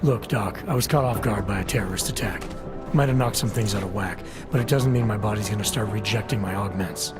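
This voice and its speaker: An actor playing a guarded, terse augmented security agent with an American accent, in a game cutscene. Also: male voice, on the low side, brisk, natural voice. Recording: game cutscene with loud music.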